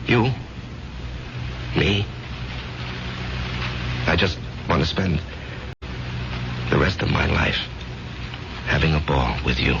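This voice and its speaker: An actor playing a troubled, resigned ex-soldier in a 1970s radio drama, speaking haltingly and evasively. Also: male voice, a bass voice, expressive, gravelly, full character voice. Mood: eager, tender. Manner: hesitant, halting. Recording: muffled, noisy old radio broadcast.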